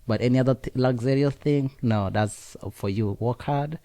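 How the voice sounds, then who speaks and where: A man's voice: mid-range voice, mellow, no echo. A young man from Kenya whose first language is Nandi, speaking in a casual interview with many fillers and restarts. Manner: halting, frequent fillers and restarts. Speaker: interview guest.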